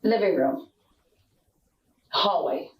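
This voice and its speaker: A woman's voice: fairly low pitch, expressive, slow pace. A mother being questioned by police, answering with defensive, repeated denials. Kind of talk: police interrogation. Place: police interview room. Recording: echoey room, voice from far off.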